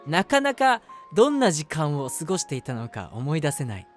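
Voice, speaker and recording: A man acting a lively, high-voiced lovestruck young guy. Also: normal speed, faint music behind. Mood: giddy, eager. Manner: chatty, self-deprecating, earnest, hesitant asides. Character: eager, lovestruck, easily flustered young man, eldest brother. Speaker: voice actor. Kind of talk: Japanese romantic audio drama monologue.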